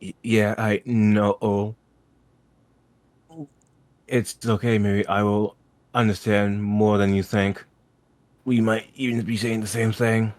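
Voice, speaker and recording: A man's voice: on the low side, flat delivery, slow, pauses often, darkish tone, no echo. A man voicing an awkward, lovesick young troll who stammers nervously and swears in an amateur webcomic dub. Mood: nervous, earnest. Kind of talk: amateur dramatic reading of a webcomic.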